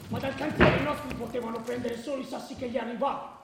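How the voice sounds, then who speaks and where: An actor playing a partisan football ultra, recalling clashes in colloquial, dramatic radio-drama storytelling. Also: male voice, very high-pitched, level tone, fast talker. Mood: insistent. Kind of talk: dramatized radio narration in a choral ensemble. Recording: distant, very echoey, noisy.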